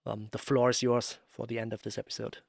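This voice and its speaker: A man's voice: medium pitch, level tone, a little fast, vocal fry, dry recording, studio quality. A man hosting a podcast in a casual, conversational interview style. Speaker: podcast host.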